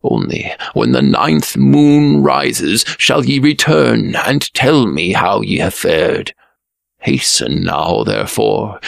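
A man's voice: medium pitch, vocal fry, dry recording, studio quality, heavily acted. A man voicing a solemn, fatherly fairy-tale king in formal, archaic speech.

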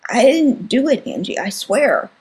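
A woman voicing an earnest, timid young boy who speaks quietly and slowly to his older sister. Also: on the high side, very animated, slightly rough, voice pushed up, heavily acted. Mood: nervous.

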